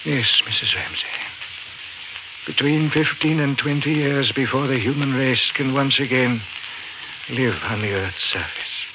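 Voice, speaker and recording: An actor playing a weak, embittered scientist with halting speech in a 1950s radio drama. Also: male voice, medium pitch, muffled recording, close to an everyday voice. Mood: grave. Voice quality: frail, halting. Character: weak, embittered astronomy professor, once dismissed as insane. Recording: noisy, crackling 1950s radio recording.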